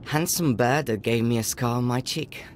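A man's voice: mid-range voice, normal speed, slight background noise, natural voice. A man playing an earnest, caring young country boy and protective older brother, narrating reflectively in a video game.

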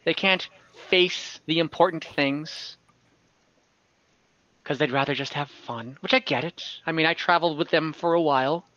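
A man at the game table acts out a scheming, ominous adventurer gathering warriors. The voice is a slightly high, bright male one, earnest and deliberate. It comes through dull online-call audio. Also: dry recording. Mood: confiding. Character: weary scheming adventurer recruiting warriors for a divine war. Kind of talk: in-character tabletop roleplay. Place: room at home, on a group video call.

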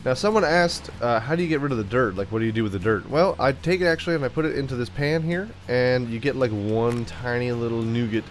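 A man's voice: medium pitch, fast, hardly any pauses, soft background music. A young man with a stuffed-up cold voice, giving jokey let's-play commentary while he plays and riffing to himself. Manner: goofy, jokey, sings and riffs to himself. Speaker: gaming YouTuber.

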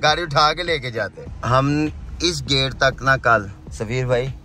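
A man's voice: medium pitch, unhurried, pushed and bright. A young man chatting casually as a vlogger, dropping in English phrases. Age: young adult. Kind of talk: casual vlog chat. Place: car and outdoor cricket stadium.

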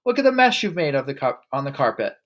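A man voicing a hot-tempered middle-aged father in a storybook, yelling indignantly. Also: mid-range voice, sing-song, fast talker.

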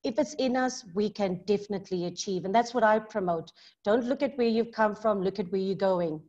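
A middle-aged woman with a South African accent speaks in a lively, emphatic, outgoing way. Her voice sits in a middle pitch. It comes through a laptop microphone on a video call, with slightly muffled, dull sound. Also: a little fast. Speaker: maths tutor and business owner. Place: home study with bookshelves. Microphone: laptop mic on video call.

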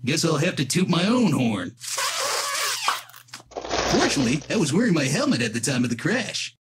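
Hammy, swooping masked trickster. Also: male voice, on the high side, big pitch swings, moderate pace, very noisy. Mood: cocky. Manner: theatrical, mocking, hammy. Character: cocky green-headed masked trickster, wisecracking loudmouth. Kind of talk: comic-book dub voice acting. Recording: voice under loud music. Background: loud music.

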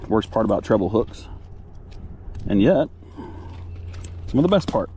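A man's voice: on the low side, very animated, unhurried, mellow, a little hiss or hum. An American man chatting casually and in a folksy way to viewers while fishing from a kayak, recorded outdoors on an action camera. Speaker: outdoor vlogger, angler. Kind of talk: casual fishing vlog chat. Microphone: action camera at chest or head.

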